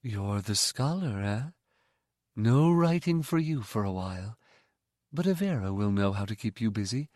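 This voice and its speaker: A man voicing a commanding older noblewoman with a deep, soft, musical voice, speaking quietly and tenderly. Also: animated, moderate pace, dry recording, clean studio sound, close to an everyday voice. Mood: gently amused.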